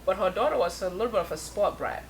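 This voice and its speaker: A woman who is the guest in an interview, chatting casually about her life in music, laughing often and sending shout-outs to friends. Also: mid-range voice, lively intonation, quick pace. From Europe.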